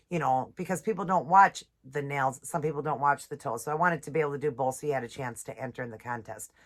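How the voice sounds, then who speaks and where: A woman with a stuffed-up voice from a cold, chatting casually and reading devotional passages slowly. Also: low voice. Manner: chatty, reads scripture slowly, apologizes often. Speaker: nail and devotional vlogger. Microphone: ring light setup, camera close.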